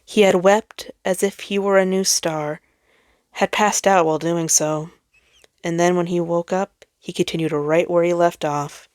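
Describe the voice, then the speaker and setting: A woman reading a story aloud as a dramatic narrator, theatrical and emphatic, with phrases that trail off softly. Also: fairly low pitch, fairly even intonation, measured pace, no echo, studio quality. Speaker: fan fiction reader.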